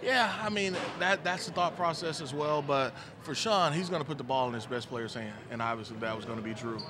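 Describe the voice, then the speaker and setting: A man in his forties, a former player, giving relaxed postgame football analysis into a handheld mic on a studio set. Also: on the high side, fairly quick, crowd noise. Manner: relaxed, thoughtful, many "you know" fillers. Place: studio set with team backdrop, indoors. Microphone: handheld microphone.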